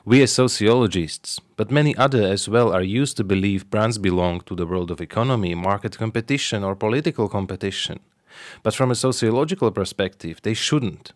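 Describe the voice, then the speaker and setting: A man with a Slovak accent, a native Slovak speaker, presenting a scripted explanatory monologue as a sociologist and marketer, in a measured, deliberate way. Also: fairly low pitch, no echo, clean studio sound.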